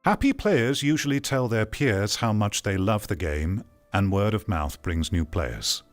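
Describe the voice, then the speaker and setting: A man's voice: on the low side, animated, a little slow, soft background music. A man giving opinionated commentary on an article, reading parts aloud and reacting in a blunt, dismissive, argumentative way. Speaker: video commentator.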